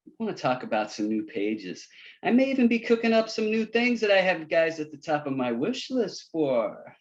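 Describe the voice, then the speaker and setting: Man playing an overeager hipster director, swooping pitch. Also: sing-song, normal speed, dull audio. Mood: breezy, chummy. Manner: slangy, chummy, overeager. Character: breezy, eager male movie director, try-hard hipster. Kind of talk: table read acting.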